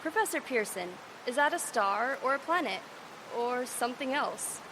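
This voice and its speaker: An actress playing a persistent field news reporter in a live audio drama, speaking in a brisk broadcast style. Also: female voice, a little high, lively intonation, noisy background.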